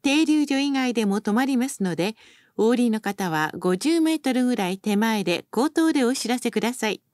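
A woman's voice: on the high side, animated, fairly quick, a little mellow, no room sound, studio quality. A woman reading scripted bus announcements as a recorded announcer, in a formal, polite and carefully enunciated manner.

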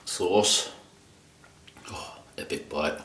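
A man's voice: very slow, fairly bright tone. A young man giving casual commentary on an eating video, talking in short exclamations between bites, picked up from a little distance indoors. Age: young adult. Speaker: mukbang video host. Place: indoors against a plain dark backdrop. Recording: roomy, distant mic, faint noise.

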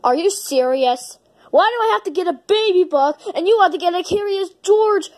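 A woman voicing an excitable, gushing, bickering toy fox in an improvised play skit. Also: very high voice, moderate pace. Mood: grumpy, bickering. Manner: gushing, gasping, shrieking, bickering.

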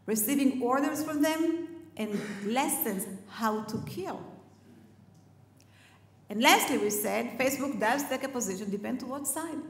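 A woman's voice: high-pitched, a bit bright. Emphatic woman in an echoey hall. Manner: measured, emphatic, list-like delivery. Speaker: conference speaker. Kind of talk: prepared public speech. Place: conference hall stage. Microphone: headset mic.

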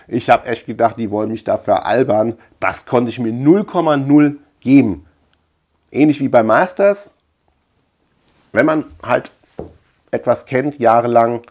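A man's voice: medium pitch, darkish tone. A man chatting casually as a video host, rambling colloquially and repeating words for emphasis. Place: small room at home lined with shelves.

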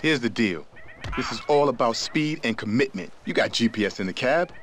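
A man's voice: mid-range voice, expressive, normal speed, a bit bright, natural voice. Curt male criminal boss for a game, played by an actor: mid-range voice, instructing, slight background noise. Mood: businesslike. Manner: matter-of-fact, instructing. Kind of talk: video game voice acting.

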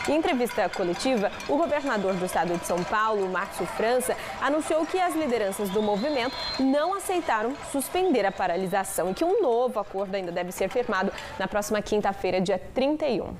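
A woman, reading news in a steady, formal way in a studio on a lapel mic. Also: mid-range voice, a little fast, hardly any pauses. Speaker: news presenter.

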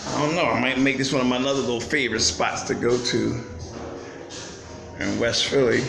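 A man's voice: medium pitch, steady tone, moderate pace, creaky. An older man, chatting casually and upbeat into his phone while filming a vlog in a small restaurant. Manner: chatty, upbeat, plain-spoken. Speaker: vlogger. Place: small restaurant indoors, then street. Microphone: phone camera held at arm's length. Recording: roomy restaurant with music behind.

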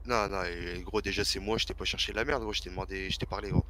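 A man with a fairly low voice on an online game voice chat. He talks in casual, argumentative slang and repeats himself, with very loud noise on the line. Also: fairly even intonation, quick pace. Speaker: fellow role-play gamer. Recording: very noisy, clicky game voice chat.

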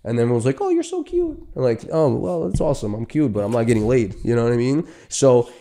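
A man's voice: mid-range voice, big pitch swings, fast, gravelly, dark tone. A man, bantering bluntly and casually with slang and swearing on a close studio microphone. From Ukraine, moved to Brooklyn as a child. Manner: blunt, profane, argumentative. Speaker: podcast host. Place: indoor studio. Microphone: close boom-arm studio microphone.

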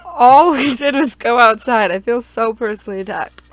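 Higher-voiced woman on a game stream. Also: expressive, moderate pace, slight background noise. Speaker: player in a group gaming stream. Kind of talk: reading visual novel dialogue aloud and casual chat.

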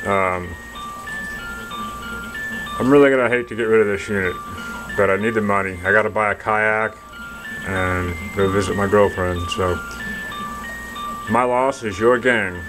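A man giving a casual, halting product demonstration from behind a hand-held camera indoors, as if selling something. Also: fairly low pitch, fairly even intonation, music in the background, low-quality recording. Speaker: seller demonstrating a restored vintage radio signal tracer. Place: dim indoor room, speaking from behind the camera.

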